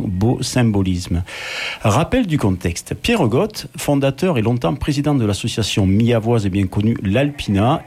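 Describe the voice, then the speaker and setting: A man, telling a personal story as a read-out radio column, measured and wry. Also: mid-range voice, a little mellow, slight background noise.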